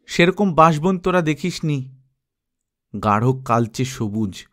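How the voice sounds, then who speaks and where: Male story reader, medium pitch, expressive scripted narration with measured, dramatic delivery.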